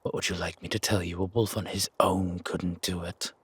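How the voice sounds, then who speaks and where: Man playing a very husky, low werewolf. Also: little pitch movement, no room sound. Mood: calm, measured. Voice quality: husky, gravelly rasp. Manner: slow, measured, dry, guarded. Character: gruff, wary male werewolf, protective founder of a sanctuary. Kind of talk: roleplay audio drama, intimate one-sided dialogue.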